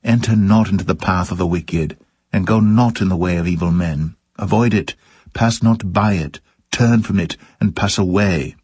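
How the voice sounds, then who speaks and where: A man's voice: deep voice, moderate pace, a little mellow, husky, no echo. A man reciting scripture in a solemn, scripted style. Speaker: scripture reader.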